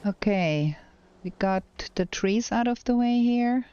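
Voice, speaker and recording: A woman's voice: a little high, fairly even intonation, dark tone, muffled recording, slight background noise. A German woman with a German accent, talking through a video game on stream and answering chat, thinking aloud as she plays. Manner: slow, thinking aloud while playing. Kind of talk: casual gameplay commentary with chat replies.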